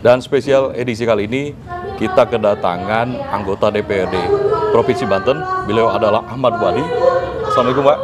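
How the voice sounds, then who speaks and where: A man hosting a talk show interview, brisk and quick to affirm with short interjections. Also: lowish voice.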